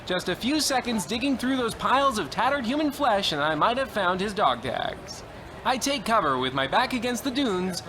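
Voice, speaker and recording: A man playing an anguished soldier who narrates a battle in the dramatic style of a radio play. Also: high-pitched. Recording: loud urban noise behind the voice.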